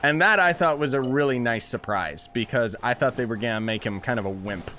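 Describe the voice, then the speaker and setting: A man hosting a let's-play video and commenting on the game in an excitable, jokey way, often breaking into exclamations. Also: medium pitch, fairly quick. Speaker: gaming video host.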